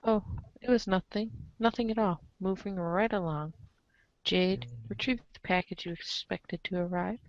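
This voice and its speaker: A woman's voice: medium pitch, normal speed, dry recording. Dry, dull-sounding woman narrator, theatrically wry. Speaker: narrator reading a webcomic aloud. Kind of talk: dramatic scripted narration with casual asides.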